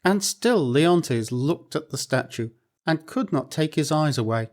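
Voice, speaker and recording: A man reading a storybook aloud in a clear, expressive, unhurried way. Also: a little high.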